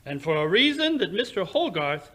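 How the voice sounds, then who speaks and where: Imperious detective played by a man, high and forceful, clipped commands, radio drama. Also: big pitch swings, normal speed, heavily acted. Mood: knowing. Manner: commanding, clipped, self-assured. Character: brisk, imperious male detective genius. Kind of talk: old-time radio drama acting.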